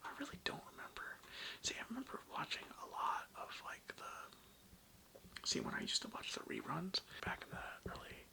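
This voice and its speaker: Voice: normal speed, poor audio. A young adult ASMR creator whispering breathily in a casual, slangy, rambling way with some swearing. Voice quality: breathy, mostly unvoiced whisper. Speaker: ASMR video creator and host.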